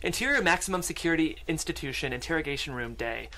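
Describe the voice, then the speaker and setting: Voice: mid-range voice, lively intonation, quick pace, bright, forceful tone. A narrator reading screenplay stage directions aloud at a table read. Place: podcast studio.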